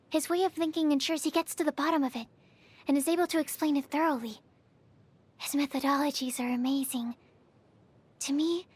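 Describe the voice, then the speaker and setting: A woman's voice: high-pitched, close to an everyday voice. An actress playing a shy, bookish young female alchemist in a video game, earnest and awkward, speaking in hesitant, precise, formal phrases. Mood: admiring, earnest. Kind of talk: video game character voice lines.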